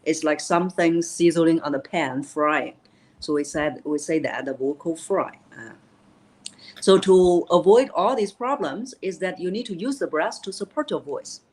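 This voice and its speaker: A woman's voice: low voice, normal speed, a little mellow. A woman voice coach in a live interview, explaining vocal technique in an instructive way. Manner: instructive, demonstrates voices while teaching. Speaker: voice coach and keynote speaker.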